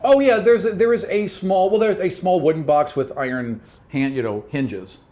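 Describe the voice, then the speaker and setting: A man narrating a tabletop role-playing game as game master, improvising in a casual, animated way. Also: high-pitched, moderate pace. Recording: faint background noise.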